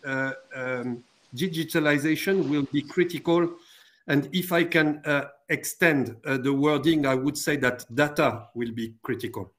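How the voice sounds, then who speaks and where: A man giving an unscripted answer as an industry panelist, halting and repeating words as he goes. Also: on the high side, steady tone, a bit bright.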